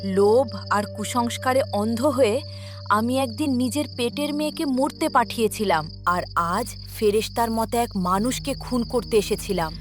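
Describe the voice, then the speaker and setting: A woman's voice: on the high side, normal speed, soft background music, natural voice. A woman voicing a proud mother broken by guilt, lamenting in a melodramatic cartoon story. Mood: anguished remorse. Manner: anguished, self-condemning lament.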